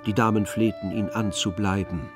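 A man's voice: fairly low pitch, steady tone, normal speed, loud music behind the voice. A man reading scripted literary narration for an audio drama.

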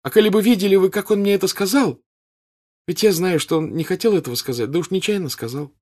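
A man playing a squealing excitable teenage girl. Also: high voice, fast talker, voice pushed up. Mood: pleading. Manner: breathless, squealing, insistent.

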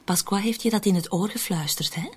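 An actress playing a cynical, scheming woman who deflects blame in a radio drama, with a husky voice. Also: medium pitch, fairly quick. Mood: defensive, accusing.

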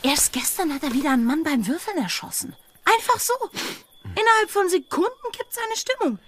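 An actress in a German radio drama playing a bold, freedom-hungry pirate's lover, speaking with earnest, intimate passion. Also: female voice, very high-pitched, sing-song, a little fast, a little hiss or hum. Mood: alarmed. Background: music.

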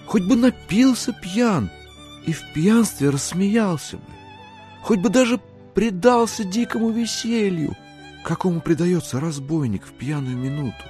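A male narrator performs a classic tale for an audio drama. His voice sits high for a man and is expressive, with soft music underneath. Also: unhurried.

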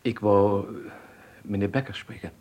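Earnest young man, low husky voice. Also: normal speed, a little mellow, no echo. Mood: polite, hesitant. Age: young adult. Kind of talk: Dutch radio play acting.

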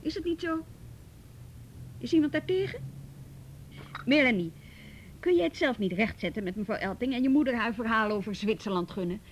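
Woman playing a firm, high-voiced mediator. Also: animated, normal speed, pauses often, slight background noise, lightly acted, low-quality recording. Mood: firm, negotiating. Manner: firm, reasoning, direct. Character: firm, frank woman mediating between mother and daughter. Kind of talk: Dutch radio drama acting.

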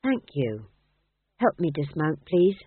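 A man puts on a comic storybook king who hates fuss. He speaks politely and hesitantly, sounding wounded and embarrassed, with expressive pitch on a dry recording. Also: slow pace, breathy edge, dry recording, narrow, tinny audio, full character voice. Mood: pleading, delicate. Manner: hesitant, courteous, hurt. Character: polite, fussy, embarrassed king, easily pained.

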